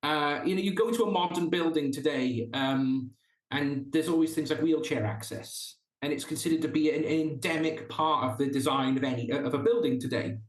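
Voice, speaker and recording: A Welsh man with a fairly high voice, talking earnestly as a podcast guest and restarting his thoughts often. The room around him rings noticeably. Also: normal speed, echoey room, poor audio. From South Wales. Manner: earnest, with frequent restarts and stumbles. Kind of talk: casual interview chat.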